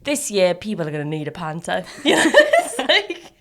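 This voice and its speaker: Chatty young woman, low voice. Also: wildly swooping pitch, moderate pace, a bit bright. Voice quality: slightly low, nasal from sinus problems. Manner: rambling, chatty storyteller who laughs mid-sentence. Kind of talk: casual chat between friends.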